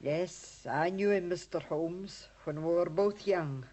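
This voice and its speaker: A woman's voice: fairly low pitch, slow, warm tone. An actress playing an anxious, respectful middle-aged mother with an English accent, earnest and emotional in a 1950s radio drama. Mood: subdued, grateful.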